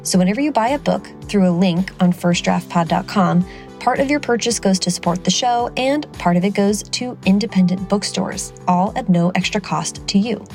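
A woman's voice: medium pitch, normal speed, darkish tone, soft background music. A woman hosting a podcast about writers, reading her upbeat intro and then asking interview questions. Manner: upbeat, promotional read. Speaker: podcast host.

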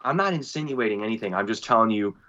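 A man co-hosting a podcast over a call, telling stories with animated, joking, mock-indignant banter. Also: lowish voice, quick pace, highs cut off. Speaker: podcast co-host. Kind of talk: casual podcast chat. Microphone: remote call mic.

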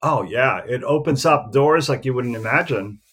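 A man in his fifties who grew up in the Philippines, a photographer talking about his career in a relaxed, rambling way with many fillers. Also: medium pitch, moderate pace, breathy edge. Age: 50s. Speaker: guest, professional photographer. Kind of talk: casual interview talk.

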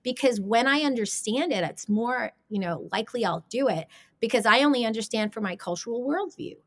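A woman's voice: a little high, brisk. A woman marriage coach talking on a podcast, telling stories and acting out what spouses say. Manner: animated storytelling, acting out quoted spouses. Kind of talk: podcast conversation.

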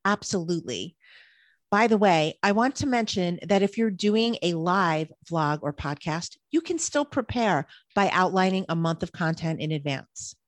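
A woman's voice: fairly low pitch, lively intonation, moderate pace, no room sound. Upbeat woman co-hosting a podcast. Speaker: podcast co-host. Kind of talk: scripted podcast chat.

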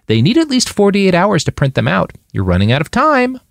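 A man's voice: high-pitched, wildly swooping pitch, brisk, creaky, no room sound, clean studio sound, pitched above the performer's own voice, exaggerated voice. A man voicing a middle-aged suburban mother in an audiobook, pointed and sugary, doting yet sarcastic. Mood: nagging.

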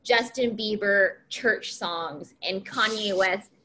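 A woman's voice: mid-range voice, slow, sharp tone, a little hiss or hum, poor audio. A woman in her mid-thirties co-hosting a casual chat, bubbly and gushing, often giggling. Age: 30s.

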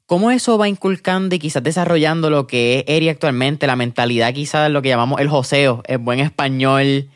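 Upbeat podcast host, dry sound. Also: male voice, a little high, a little fast, hardly any pauses, no room sound. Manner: relaxed, mixes English words into Spanish. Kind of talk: casual interview.

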